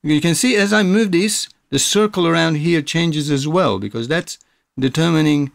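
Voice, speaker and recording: A man's voice: on the high side, normal speed, creaky, dry recording. A man presenting a music production tutorial in a casual, explanatory, informal way. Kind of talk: casual software tutorial narration.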